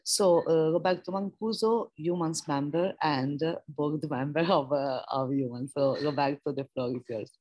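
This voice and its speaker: A woman's voice: deep voice, moderate pace, few pauses, a little mellow, no room sound. A woman in her thirties hosting an online meeting over a video call. She talks in a conversational way, with many fillers and restarts. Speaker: co-president and meeting host. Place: large indoor hall with windows. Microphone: wired earbud headset on a video call.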